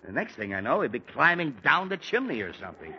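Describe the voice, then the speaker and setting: A man's voice: mid-range voice, very animated, quick pace, husky. An actor playing an exasperated middle-aged father with a headache, fussy and easily annoyed, in 1940s radio acting. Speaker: father of a teenage son. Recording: dull, faintly hissy 1940s radio recording.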